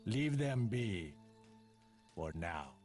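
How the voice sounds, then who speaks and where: Earnest, expressive ship's captain. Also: male voice, on the low side, faint music behind, heavily acted. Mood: restrained concern. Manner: measured, serious. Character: earnest, dutiful ship's captain, steady and concerned. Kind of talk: dramatic video game cutscene acting.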